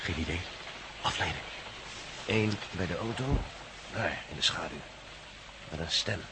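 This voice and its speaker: A determined landowner on the lookout in a radio play. His voice is fairly low, and he speaks in terse, watchful bursts. Clear background noise surrounds him. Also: unhurried. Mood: determined, tense. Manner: terse, short observations. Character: determined, watchful male landowner spying on intruders. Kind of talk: radio drama acting. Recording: noisy radio play, outdoor ambience.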